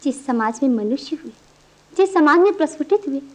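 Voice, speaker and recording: A high-voiced, lovelorn young woman. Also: lively intonation, fairly quick, darkish tone. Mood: pleading. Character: pained, questioning young woman in love, restrained. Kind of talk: radio play acting. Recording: muffled old radio recording.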